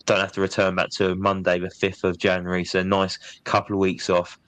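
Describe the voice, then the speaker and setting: A man's voice: deep voice, a little fast, no echo. A man chatting casually on a podcast, bunged up from a cold. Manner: chatty, relaxed, says "mate" often. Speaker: podcast co-host. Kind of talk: casual chat.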